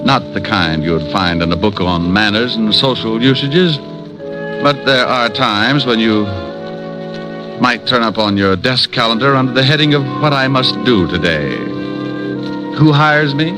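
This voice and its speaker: A man's voice: medium pitch, lively intonation, unhurried, music in the background, lightly acted, rough recording. An actor playing a world-weary, wisecracking male private detective who narrates in an old radio drama. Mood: wry. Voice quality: dry wisecracking delivery. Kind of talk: 1950s radio drama acting. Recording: old time radio recording.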